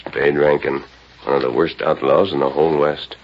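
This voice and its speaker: An actor playing a noble, authoritative masked cowboy hero in a 1940s radio western, speaking crisply and earnestly. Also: male voice, rumbling low, steady tone, moderate pace. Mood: grave, confident. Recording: muffled, hissy 1940s radio recording.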